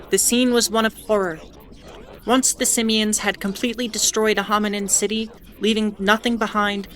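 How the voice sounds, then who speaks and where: A woman's voice: mid-range voice, a bit bright, natural voice. A woman playing a wry, defiant bulletin host aboard a spaceship, narrating a scripted audio drama in a conversational, explanatory way. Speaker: host of an in-world radio bulletin.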